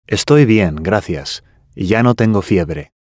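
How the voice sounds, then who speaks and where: Low-voiced man reading careful lesson dialogue. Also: lively intonation, takes it slowly, darkish tone, no room sound, clean studio sound. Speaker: language course dialogue reader.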